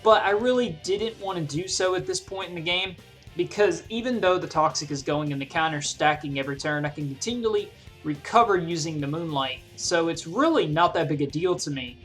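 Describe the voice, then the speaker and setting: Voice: medium pitch, fairly quick, fairly bright tone. A video creator giving conversational play-by-play game commentary, explaining each decision as they think it through aloud. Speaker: gaming video creator commentating own battle. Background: music behind the voice.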